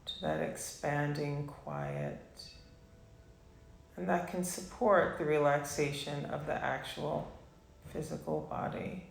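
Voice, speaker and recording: Slow, creaky-voiced woman guiding meditation. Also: sits low, fairly even intonation, roomy sound, slight background noise. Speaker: meditation teacher. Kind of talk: guided meditation instruction.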